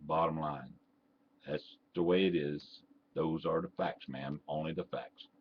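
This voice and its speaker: A man's voice: very deep voice, fairly even intonation, measured pace, breathy edge. An older man with a Texan accent, talking bluntly and indignantly straight to camera, full of "uh"s and restarts. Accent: Texan, mixed with Southern. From Texas. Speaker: vlogger and ham radio operator. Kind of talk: opinionated rant to camera. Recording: dull lo-fi home recording with faint noise.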